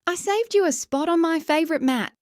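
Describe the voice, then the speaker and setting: An eager, friendly young animal schoolmate from a children's storybook, acted by a woman narrator. The voice is lifted high, with encouraging little exclamations and a helpful, inviting manner. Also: a little fast, dry recording, clean studio sound.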